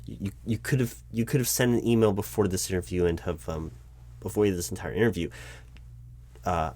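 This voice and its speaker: Hesitant, stammering man playing a male recruiting manager, lowish voice. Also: brisk, a little mellow. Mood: puzzled. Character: nervous, baffled male corporate recruiting manager, polite and earnest. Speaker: roleplay performer. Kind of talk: one-sided audio roleplay of a job interview.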